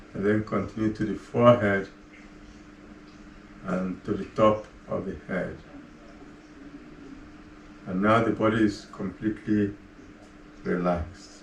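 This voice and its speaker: A man leading a guided relaxation, giving slow, soft, calm cues with long pauses between them. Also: fairly low pitch, gravelly. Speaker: meditation guide. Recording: roomy echo, voice far from the mic, faint ambient hum.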